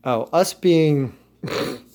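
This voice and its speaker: A man's voice: a little high, drawn-out words, darkish tone. A man ranting in a loose, joking way, laughing now and then and restarting his sentences. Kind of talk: casual rant.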